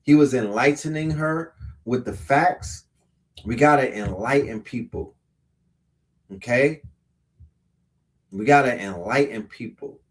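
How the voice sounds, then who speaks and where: A man's voice: mid-range voice, slow, long pauses. A man hosting a show and commenting on a clip, preaching emphatically and repeating his points.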